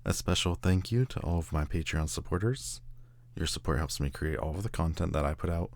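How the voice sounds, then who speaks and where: A man with a very deep voice speaks as the creator of audio roleplays. He delivers a scripted outro addressed straight to his listeners. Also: a little fast, darkish tone, no room sound.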